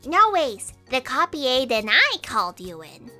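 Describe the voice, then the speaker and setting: A high, bright female voice acted by a woman for a dutiful aide in a cosmic bureaucracy. She is earnest and formal, with deliberate pacing, as heard in comic sci-fi audio drama. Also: sing-song, faint music behind, exaggerated voice. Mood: pleading, earnest.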